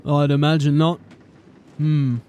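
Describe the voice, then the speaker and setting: A man's voice: on the high side, flat delivery, takes it slowly, warm tone, clear, resonant tone. A man playing a stern male city watch sergeant who questions people in a formal, suspicious way. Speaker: police sergeant.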